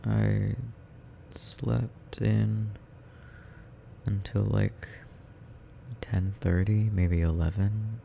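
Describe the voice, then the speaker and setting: A drowsy, affectionate young boyfriend played by a man murmurs in a low voice at an unhurried, slow pace. He sounds tender and needy, as in an intimate ASMR roleplay. There is faint noise behind his close-miked voice. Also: level tone, gravelly, warm tone, exaggerated voice.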